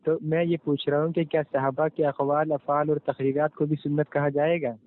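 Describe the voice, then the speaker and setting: A man with a somewhat high, flat-toned voice debates religion over a tinny phone line. He persistently presses his questions, repeating words as he goes. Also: a little fast. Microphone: phone call. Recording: tinny phone call recording.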